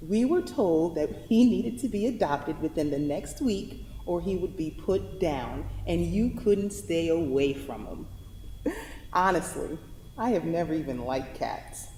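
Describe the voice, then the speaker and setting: A woman's voice: fairly low pitch, warm tone. A woman playing a devoted wife tenderly and earnestly reading a farewell letter in a staged reading. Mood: teasing. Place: theatre hall. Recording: echoey hall with audible noise.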